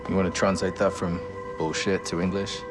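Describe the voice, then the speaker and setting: Game role male actor: loyal soldier, low-register delivery, wry and plain-spoken, over music. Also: flat delivery, brisk, lightly acted.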